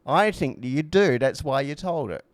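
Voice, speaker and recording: A man's voice: on the high side, lively intonation, normal speed, no echo. A man co-hosting a podcast in casual, rambling conversation, blunt and opinionated, with frequent swearing. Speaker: podcast co-host.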